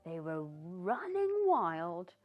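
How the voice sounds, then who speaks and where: A British woman reading a children's story aloud in an expressive, theatrical way, with asides to the young listener. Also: lowish voice, extremely slow. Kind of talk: animated read-aloud narration for young children. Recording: dull lo-fi sound with background music. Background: music.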